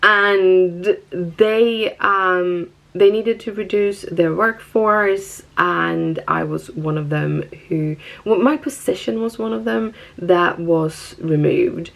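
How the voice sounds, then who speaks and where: A woman's voice: fairly low pitch, slow pace, vocal fry, hardly any pauses, fairly bright tone, poor audio. A woman with a Swedish accent, talking casually and chattily to viewers as a vlogger. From Stockholm, Sweden. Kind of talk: casual personal vlog talk.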